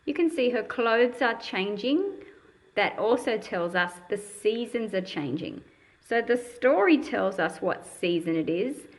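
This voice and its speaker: A woman's voice: mid-range voice, poor audio. A woman reading a picture book aloud to young children and explaining it in simple words, in a patient, teacherly manner.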